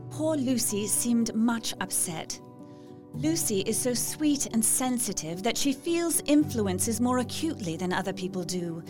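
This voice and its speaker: A woman's voice: mid-range voice, lightly acted. A woman playing an earnest, anxious young Victorian lady reading out her diary in an audio drama, genteel in manner.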